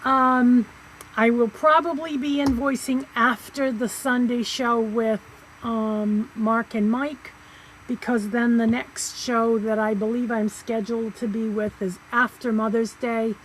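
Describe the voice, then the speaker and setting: A middle-aged woman casually listing items for sale on a live auction stream, slow and halting with many pauses and fillers. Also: on the high side, steady tone, a little hiss or hum, rough recording. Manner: slow, halting, lots of ums.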